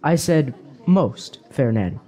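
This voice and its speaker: A man's voice: fairly high pitch, animated, takes it slowly, warm tone. A man playing a carefree young hedonist in a fantasy radio drama, wry and flowery in his phrasing. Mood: teasing. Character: carefree young hedonist drinker, teasing and philosophical.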